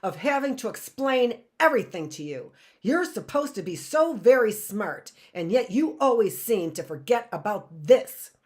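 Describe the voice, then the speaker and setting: A woman's voice: a little high, sing-song, moderate pace, hardly any pauses, a little mellow. A woman reading aloud, voicing a scheming, menacing man who mocks and snarls at children. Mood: snarling. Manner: snarling, mocking.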